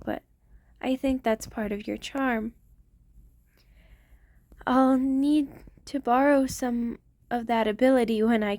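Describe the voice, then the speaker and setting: Woman voicing centuries-old female vampire, fairly high pitch, gentle protective lover, formal phrasing, intimate ASMR roleplay, very dry sound. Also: no echo. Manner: tender, soft-spoken, formal wording. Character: ancient female vampire queen, tender, protective, devoted lover.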